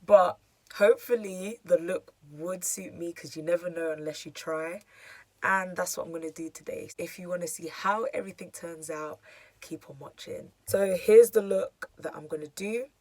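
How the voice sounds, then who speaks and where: A young woman with a hoarse, croaky voice, chatting casually through a makeup tutorial with lots of fillers. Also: lowish voice, moderate pace, a little mellow, no room sound. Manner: chatty, filler-heavy, self-deprecating. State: hoarse, losing her voice. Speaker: beauty vlogger.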